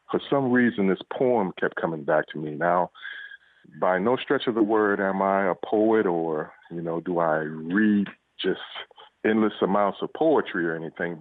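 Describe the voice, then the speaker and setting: A man's voice: sits low, no echo. A middle-aged man answering interview questions conversationally, telling his story in a reflective, somewhat hesitant way over a phone. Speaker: interview guest, police officer and author. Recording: tinny narrow phone line.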